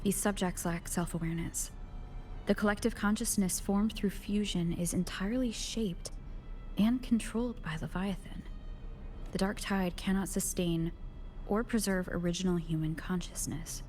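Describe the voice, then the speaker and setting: A woman voicing a composed, analytical young video game heroine in a measured, serious, scripted delivery. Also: medium pitch, steady tone, a little mellow, lightly acted. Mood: clinical, detached. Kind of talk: scripted game dialogue and log narration.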